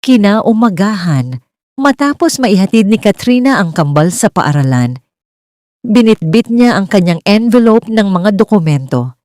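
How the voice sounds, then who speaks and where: A woman's voice: medium pitch, big pitch swings, unhurried, darkish tone. A woman narrating a scripted dramatic romance story.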